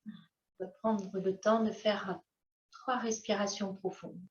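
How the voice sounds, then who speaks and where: A woman yoga teacher guiding breathing exercises in a gentle manner, with long pauses and drawn-out phrases. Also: mid-range voice, fairly even intonation. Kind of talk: guided yoga breathing instruction. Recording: echoey room, slightly dull sound.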